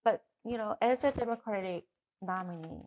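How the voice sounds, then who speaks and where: A woman's voice: mid-range voice, moderate pace, darkish tone, lo-fi sound, a little hiss or hum. A middle-aged woman with a Korean accent, a native Korean speaker, answering interview questions as a political candidate with frequent restarts and fillers.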